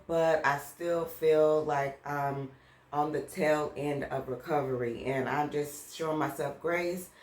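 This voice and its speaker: A woman vlogging at home in a casual, chatty way, talking straight to her viewers and still a little congested from a cold. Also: sits low. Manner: chatty, addresses viewers as "girl". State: slightly congested from a lingering cold. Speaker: vlogger and author. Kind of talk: casual chat to camera. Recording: roomy echo.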